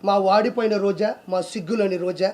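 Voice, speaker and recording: A man with a strikingly high voice delivers mocking political commentary. He is scornful and taunting, with faint noise on the recording. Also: steady tone, brisk, darkish tone.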